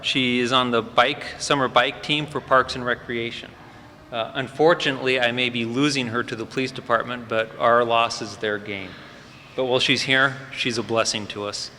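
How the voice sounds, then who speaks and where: A man at a fire department ceremony, introducing each graduate with loose, unscripted remarks and plenty of "uh"s. His voice is bright and forceful. It rings through a large hall with long reverb and faint ambient noise. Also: medium pitch, steady tone, normal speed. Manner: warm off-the-cuff remarks with frequent fillers. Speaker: fire department EMS supervisor presenting cadets.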